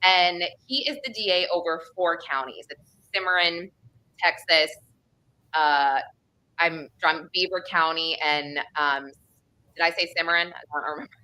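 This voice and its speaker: A woman's voice: fairly low pitch, a little slow, pushed and bright, poor audio. A woman from the Northeastern United States with an American accent, co-hosting a casual true crime discussion in a chatty, informal way. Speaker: co-host.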